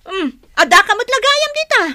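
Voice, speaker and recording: Anxious, scolding village wife and mother: very high, animated, radio drama. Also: moderate pace, heavily acted. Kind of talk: Ilocano radio drama acting.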